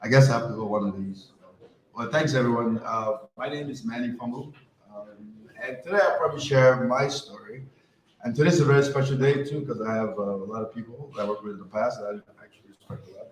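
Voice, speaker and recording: A man's voice: medium pitch, brisk. A man from France giving a conversational talk to a live audience, often asking the crowd questions. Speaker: conference speaker. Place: event hall. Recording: roomy hall with faint ambience.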